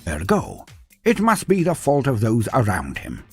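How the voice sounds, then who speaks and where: A man's voice: mid-range voice, wildly swooping pitch, measured pace, slightly rough, soft background music. A man delivering dramatic scripted fantasy narration in a grandiose, wry manner with ornate old-fashioned phrasing. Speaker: storyteller, narrator of fantasy war lore.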